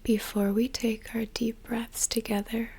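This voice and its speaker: A young woman whispering a slow, soothing guided meditation as an ASMR creator, with a soft breathy voice. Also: on the high side, flat delivery.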